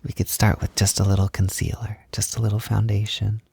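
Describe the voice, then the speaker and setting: A man playing a gentle, affectionate boyfriend in an intimate roleplay audio, speaking softly and soothingly, with unhurried reassurance. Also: on the low side, monotone, creaky, slightly rough, no echo, exaggerated voice. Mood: tender, soothing. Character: gentle, affectionate boyfriend comforting his insecure partner. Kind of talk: intimate roleplay audio, soft-spoken ASMR.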